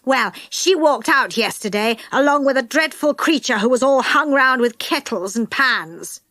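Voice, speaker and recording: A woman voicing a stern, strict old woman schoolmistress, speaking slowly and coldly in a clipped way. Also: high voice, animated, pushed and bright, no echo, clean studio sound.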